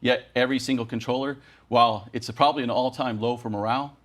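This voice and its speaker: A man gives a news interview as a union spokesman. He sits in a middle pitch range and argues his case earnestly, with emphatic, rallying phrases strung into long sentences. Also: steady tone, fast, fairly bright tone. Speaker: air traffic controllers' union president.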